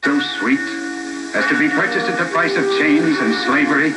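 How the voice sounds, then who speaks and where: A man playing an impassioned patriotic orator in a wartime propaganda film, solemn and declamatory, with dramatic pauses. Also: a little high, lightly acted, poor audio. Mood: solemn, stirring. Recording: old film soundtrack, loud music behind.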